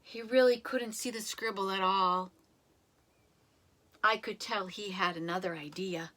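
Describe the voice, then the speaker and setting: A woman reading a children's story aloud in an expressive, animated way, with dramatic emphasis and drawn-out words. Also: medium pitch. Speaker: storybook reader.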